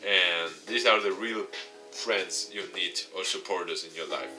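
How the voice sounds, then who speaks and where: A man with a fairly low voice does a let's play, reading game text aloud and breaking off for his own comments. His delivery stumbles now and then. Guitar music plays clearly behind him. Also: a little slow, pushed and bright, poor audio. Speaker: gaming YouTuber.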